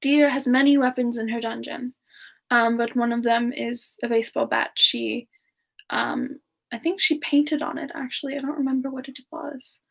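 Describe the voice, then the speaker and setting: A woman doing a semi-ASMR live question-and-answer chat in character, musing aloud in a halting, giggly manner. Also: a little high, steady tone, vocal fry. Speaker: livestream host in character, answering viewer questions.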